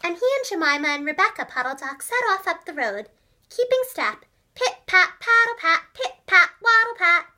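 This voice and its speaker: A woman telling a children's storybook tale expressively and clearly, as if to young children. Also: very high-pitched, bright, forceful tone. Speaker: storyteller, preschool teacher and voice actress. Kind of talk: animated children's storybook narration.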